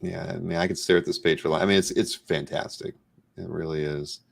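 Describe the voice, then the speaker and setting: Male host, low register with heavy vocal fry, relaxed conversational interviewer offering appreciative commentary. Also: fast. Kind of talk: casual chat, appreciative commentary.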